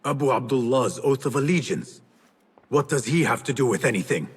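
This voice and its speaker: Young game assassin, sly smooth talker, kinda husky, big echoey hall. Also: male voice, medium pitch, lively intonation, fairly quick. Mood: stern, accusing. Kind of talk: video game cutscene acting. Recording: reverberant hall.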